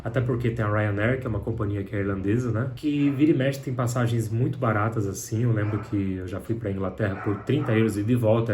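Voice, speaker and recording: A man's voice: fairly low pitch, a little mellow, slight background noise. A young man from Brazil with a Brazilian Portuguese accent, talking casually to camera in a candid, conversational way with lots of filler tags. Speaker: vlogger.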